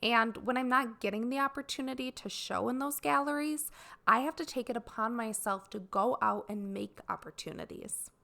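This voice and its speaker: A woman hosts a solo podcast for artists, her voice raspy and dry from a recent cold. Her tone is bright and fairly high, with a gravelly edge, and she explains things in an earnest, steady way. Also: normal speed. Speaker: podcast host.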